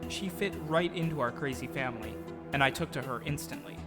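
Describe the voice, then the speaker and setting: A man's voice: mid-range voice, fairly quick, loud music behind the voice. A man telling his own story as scripted audio drama narration, performing as the storyteller. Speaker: storyteller acting as himself.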